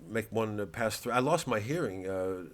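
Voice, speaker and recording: A middle-aged man, a comedian, talking in a rambling, sardonic monologue that swings into loud, mocking asides. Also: lowish voice, animated, moderate pace. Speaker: comedian promoting his work.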